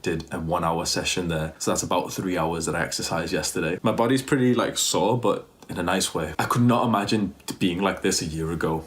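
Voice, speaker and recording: Male fitness vlogger, low voice, throat worn from talking, casual and pushy. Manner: earnest, repetitive, pushy sales talk. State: strained, tired throat. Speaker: fitness YouTuber and diet coach. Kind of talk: casual vlog monologue.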